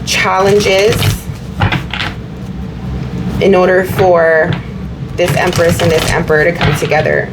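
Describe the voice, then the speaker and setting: A slightly hoarse woman with a fairly low voice talks her viewers through a tarot reading, wandering and repeating herself as she goes. Steady background noise sits clearly under her voice. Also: slow, pauses often. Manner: meandering, repeats herself, many fillers. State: slightly hoarse, recovering from illness. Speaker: tarot reader.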